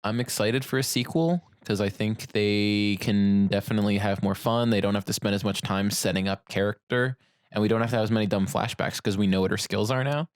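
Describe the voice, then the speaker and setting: A man's voice: on the low side, brisk. A man on a podcast panel, casually discussing films in an informal, blunt and wry manner. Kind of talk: casual film discussion chat.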